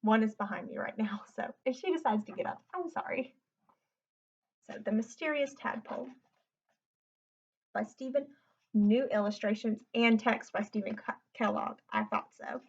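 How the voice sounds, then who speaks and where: A woman's voice: fairly high pitch, moderate pace, gravelly, pauses often, a little mellow, husky, muffled recording. A woman reads a picture book aloud and chats in between, explaining things simply as if to young children. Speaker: read-aloud host. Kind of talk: picture book read-aloud with chatty asides. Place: indoors at home, sitting on the floor.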